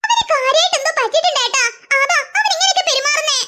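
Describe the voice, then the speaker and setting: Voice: extremely high pitch, animated, rapid-fire, exaggerated voice. A performer voicing a stern young mother who scolds and threatens a naughty son. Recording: loud background noise.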